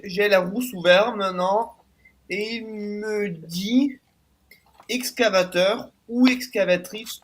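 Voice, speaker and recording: Stammering man chatting on a call. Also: sits high. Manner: stammering restarts, laughs easily. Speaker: guest. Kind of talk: casual chat on a video call.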